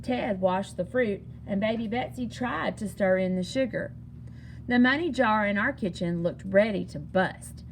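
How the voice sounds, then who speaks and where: A woman reading a children's picture book aloud in an expressive, singsong storytelling manner. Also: medium pitch, a little slow, vocal fry, a little hiss or hum, poor audio. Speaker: story reader.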